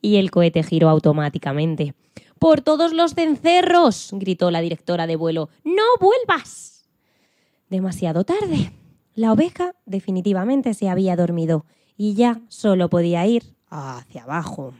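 A woman narrating a children's story for radio in an expressive, dramatic way. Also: lowish voice, moderate pace, no echo, studio quality.

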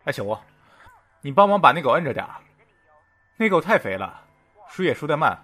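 A man's voice: fairly high pitch, big pitch swings, fairly quick, pushed and bright, heavily acted. An actor playing a brusque, unbothered male veterinarian in an audio drama, curt and teasing. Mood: offhand, teasing. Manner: offhand, curt, mocking. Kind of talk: modern audio drama acting.

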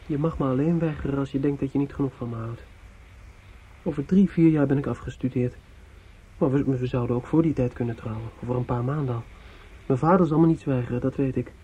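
An actor playing an earnest, devoted young student in love in a Dutch radio play, speaking gently and haltingly. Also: male voice, mid-range voice, slightly rough, highs cut off, natural voice. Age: young adult. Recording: old radio recording with faint noise.

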